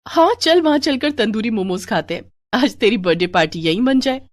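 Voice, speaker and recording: A woman's voice: fairly high pitch, animated, fairly quick, no echo, studio quality, lightly acted. A woman playing a cheerful, impressed young college student customer in a moral story, enthusiastic in manner. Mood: eager, delighted. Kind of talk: Hindi moral story character voice.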